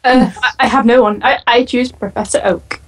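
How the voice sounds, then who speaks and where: A woman's voice: a little high, steady tone, normal speed, far from the mic, poor audio. A nineteen-year-old woman in casual gaming chat, giggly and joking.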